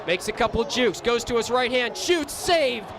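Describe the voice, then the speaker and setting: A young man doing live play-by-play lacrosse commentary for radio, excitable and ready to shout on big plays. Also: extremely high pitch, normal speed. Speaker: play-by-play announcer. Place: sports stadium during a live game. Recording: loud crowd noise behind commentary. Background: crowd and arena noise.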